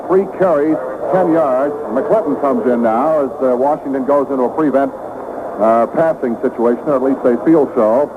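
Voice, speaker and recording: A man calling live football play-by-play for radio, brisk and climbing in pitch when a big play breaks. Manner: brisk, rising excitement on big plays. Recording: tinny old radio broadcast with hiss.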